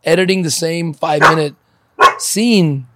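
A man from Ukraine hosting a casual interview, chatty and quick to interject with jokes. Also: fairly high pitch, very noisy. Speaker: podcast host.

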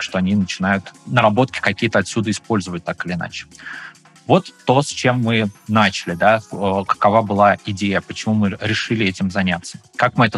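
A man's voice: lowish voice, fairly bright tone, slightly rough. A man giving an informal, explanatory talk as a designer, conversational and sprinkling in English design jargon.

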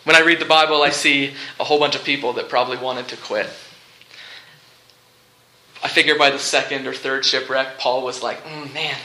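A man preaching a sermon to a room, speaking in short, deliberate phrases with rhetorical repetition and some humour. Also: mid-range voice, fairly even intonation, pushed and bright. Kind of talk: sermon with movie illustrations and humour. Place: live talk in a large room before listeners. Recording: echoey room.

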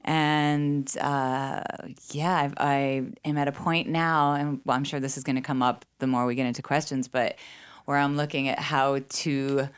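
A woman's voice: rumbling low, level tone, unhurried, clear, resonant tone. A middle-aged American woman from Connecticut, chatting casually and earnestly as a guest musician. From Connecticut, later Southern California. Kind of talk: casual chat. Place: music studio.

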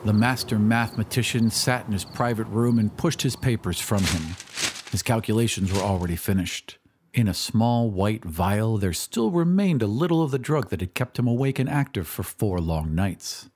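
A man's voice: lowish voice, animated, normal speed, background noise. A man giving a dramatic scripted narration of a story, as in an audio drama. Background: music.

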